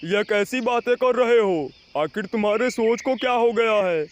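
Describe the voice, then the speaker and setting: A man voicing a stern, exasperated father crow in an animated moral story, scolding and insistent. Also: sits high, moderate pace, a little hiss or hum, natural voice.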